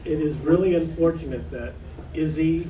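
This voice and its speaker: A man's voice: fairly high pitch, moderate pace, mellow, a little hiss or hum. A man moderating a club meeting, casual and wry. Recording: distant, roomy, with crowd behind.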